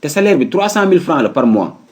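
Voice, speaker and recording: A man's voice: on the high side, big pitch swings, quick pace. A man playing a breezy, matter-of-fact employer with a Senegalese accent in a comedy cartoon. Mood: matter-of-fact, amused. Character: breezy male employer hiring a night watchman.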